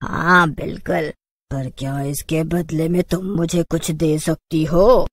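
A woman's voice: deep voice, fairly even intonation, takes it slowly. A woman voicing a sly, commanding witch who drawls and mocks in a cartoon story. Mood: sly, pleased. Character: sly green witch woman, mocking, offering bargains.

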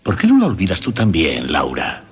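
A man's voice: low-pitched, huge pitch swings, moderate pace, breathy edge, heavily acted. An actor playing an affectionate father soothing his child in a radio drama. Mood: tender, reassuring.

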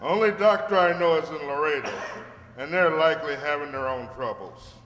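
A man acting a plain-spoken, excitable sheriff in an old-time radio western, with a folksy manner. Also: fairly high pitch, normal speed, natural voice. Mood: plain, concerned. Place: stage in a community hall. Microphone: stand microphone. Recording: echoey, noisy hall stage.

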